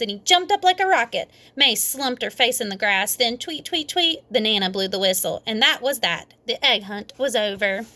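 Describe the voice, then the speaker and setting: A woman reading a children's story aloud in expressive narration. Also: on the high side. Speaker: storybook reader.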